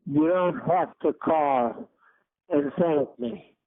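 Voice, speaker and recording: A man's voice: a little high, takes it slowly. An older man leaving a message as a caller on a phone voicemail. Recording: crackly, lo-fi phone voicemail recording.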